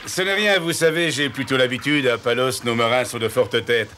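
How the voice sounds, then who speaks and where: An actor playing a stern, self-assured ship's captain in an animated historical series, speaking with unflappable command. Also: male voice, mid-range voice, moderate pace, a little hiss or hum, close to an everyday voice. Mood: nonchalant.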